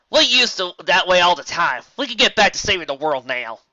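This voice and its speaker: A woman's voice: lowish voice, sing-song, measured pace, sharp tone, no room sound, voice pushed up, full character voice. A woman voicing a brash, cocky female pegasus with a sarcastic, teasing manner. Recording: dull lo-fi recording.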